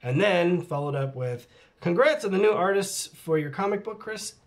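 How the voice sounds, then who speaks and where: A man's voice: high-pitched, lively intonation, normal speed. Chatty middle-aged man reading fan comments. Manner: chatty, laughs often, drops into muttered asides. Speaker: channel host answering fan questions. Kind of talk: casual Q&A vlog, reading comments aloud. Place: at home with his cat.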